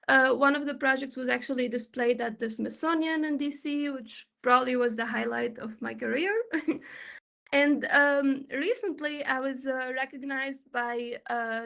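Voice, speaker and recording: High, gravelly-voiced young woman presenter. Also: fairly bright tone. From Tunisia. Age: 20s. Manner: upbeat, chatty, with many fillers and light laughs. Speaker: panel guest, XR developer. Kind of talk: presentation on a virtual panel. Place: remote online panel.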